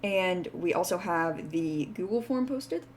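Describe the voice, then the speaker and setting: A woman's voice: lowish voice, lively intonation, slight background noise. A woman VTuber announcing voice acting auditions for her indie game, reading the terms in a casual way with lots of "like" fillers. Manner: casual, filler-heavy, reads terms out quickly. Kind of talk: casting call announcement.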